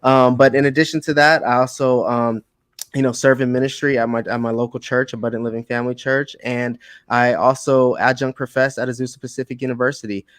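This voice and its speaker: A young man who works as a family therapist speaks earnestly and fairly softly. The recording is dry and close. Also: mid-range voice, fairly even intonation, brisk, no echo. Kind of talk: casual panel chat.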